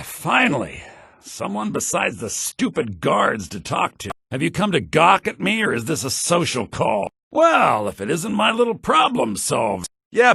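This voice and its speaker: A male actor playing a brusque, self-assured townsman in a fantasy video game, with a husky voice and a theatrical, deliberate delivery. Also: on the high side, bright, forceful tone, dry recording, clean studio sound, full character voice. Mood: cocky, resentful. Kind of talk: fantasy role-playing game character dialogue.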